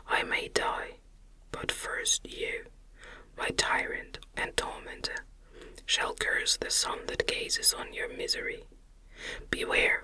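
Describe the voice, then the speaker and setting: A narrator voicing a wretched, vengeful male monster from a gothic story in a smothered, breathy ASMR whisper, with menacing, grandiose, archaic speech. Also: pushed and bright.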